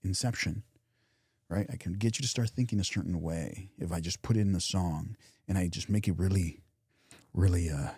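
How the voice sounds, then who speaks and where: Deep, mellow man musing on life. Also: moderate pace, breathy edge, no echo, clean studio sound. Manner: reflective, repeats and restarts phrases, softens into quiet imagined thoughts. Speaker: podcast co-host. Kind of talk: casual motivational chat.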